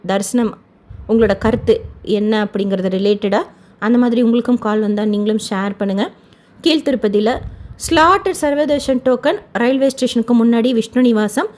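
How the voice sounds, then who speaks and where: High-voiced woman giving updates. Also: a little mellow. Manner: informative, mixes English words into speech. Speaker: channel host giving pilgrimage updates. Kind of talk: informal news update narration.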